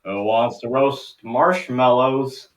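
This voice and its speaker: A man's voice: medium pitch, takes it slowly, reverberant, distant mic, pitched above the performer's own voice, natural voice. A man playing a hearty, jovial grandpa. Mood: coaxing, upbeat. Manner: jovial, encouraging. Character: cheerful, hearty grandfather on a summer trip.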